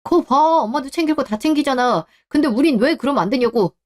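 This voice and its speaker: A young man voicing a protective husband in a radio drama, speaking in a low, firm and steady way. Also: fast talker. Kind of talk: Korean radio drama acting.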